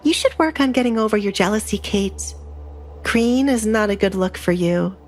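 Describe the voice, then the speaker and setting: A woman's voice: mid-range voice, moderate pace, faint music behind, natural voice. A woman voicing a poised young bride in an audio drama, composed and polite with a sting. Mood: cool. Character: poised young masked bride, softly cutting.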